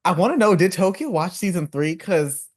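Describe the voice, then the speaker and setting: A man's voice: high voice, expressive, moderate pace. A young man co-hosting a podcast, casual and sassy with campy slang. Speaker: podcast co-host. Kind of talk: casual chat recapping a reality show.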